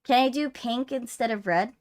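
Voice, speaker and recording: A woman's voice: on the high side, normal speed. A woman streamer in casual, playful banter with a friend on a livestream.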